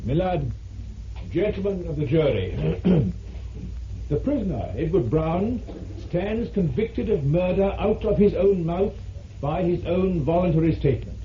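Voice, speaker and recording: An actor playing a formal male barrister in a vintage radio drama, speaking in measured oratory. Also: sits high, animated. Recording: muffled, noisy vintage radio recording.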